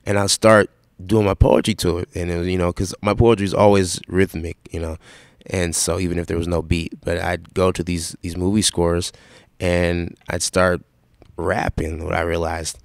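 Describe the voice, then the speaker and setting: A young man from Seattle with a raspy voice, answering interview questions in a relaxed, rambling way with lots of fillers. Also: sits low, no echo. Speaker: rapper guest.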